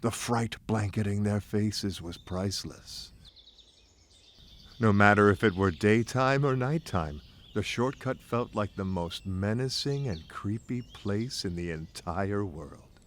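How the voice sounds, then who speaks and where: A man's voice: lowish voice, takes it slowly, a little hiss or hum. A man narrating a scripted first-person story in a theatrical, folksy, playful manner. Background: music bed.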